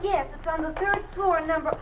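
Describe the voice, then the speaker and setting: An actress playing a frightened young daughter in a 1950s radio drama, speaking breathlessly. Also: female voice, very high voice, moderate pace. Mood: anxious. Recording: muffled old radio transcription with hiss.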